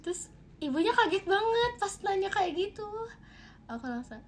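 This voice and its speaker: Young woman from bogor, high-pitched, animated and chatty, lots of filler words. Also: normal speed, a little hiss or hum. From Bogor, Indonesia. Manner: animated, rambling with many fillers and laughs. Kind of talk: casual storytime.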